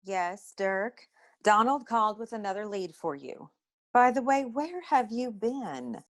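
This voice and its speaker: An actress playing a brisk, know-it-all detective agency secretary in a noir comedy audio play, curt and dryly superior. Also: female voice, medium pitch, expressive, close to an everyday voice. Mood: testy, curt.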